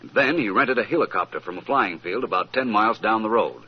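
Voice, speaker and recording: A suave, witty gentleman detective from a vintage radio mystery. His low voice swings freely and expressively, urbane and teasing. The old recording is muffled. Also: lively intonation, fast talker, husky. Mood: assured, explanatory. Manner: urbane, teasing, explaining with assurance. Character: suave, witty, self-assured male amateur detective.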